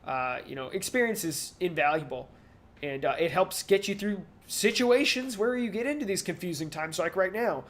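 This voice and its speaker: Encouraging male co-host, slightly high voice. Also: lively intonation, normal speed. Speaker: podcast co-host. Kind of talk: casual chat.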